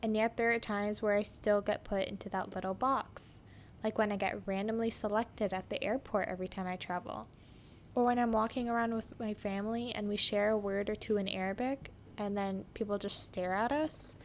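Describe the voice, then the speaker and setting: A woman's voice: medium pitch, steady tone, normal speed, slight background noise. A young woman hosting a podcast, telling her story in a reflective, scripted narration. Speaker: podcast host.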